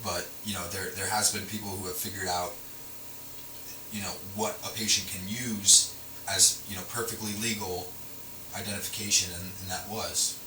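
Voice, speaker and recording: Noisy audio, picked up from a distance, carries a man with a fairly low voice. He speaks haltingly and repeats words as he politely argues his case. The delivery is conversational and hesitant. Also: flat delivery, reverberant. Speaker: citizen stopped by police, medical marijuana patient. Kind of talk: casual argumentative conversation.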